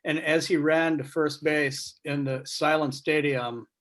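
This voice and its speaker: Male panelist for casual video-call talk, measured and reflective, mid-pitched voice. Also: steady tone, a bit bright. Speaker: sports writer on a panel.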